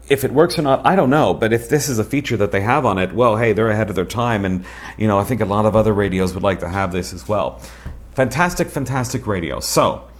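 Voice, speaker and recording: A man with a fairly low voice presents a product review as the host. His delivery is casual and conversational, with emphatic points addressed straight to the viewer.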